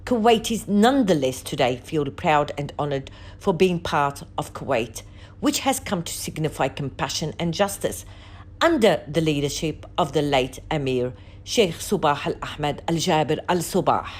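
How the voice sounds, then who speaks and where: A woman narrates a scripted news report in a low register. Her delivery is formal and measured, with emphasis placed on each item as she lists it. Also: lively intonation, slightly rough.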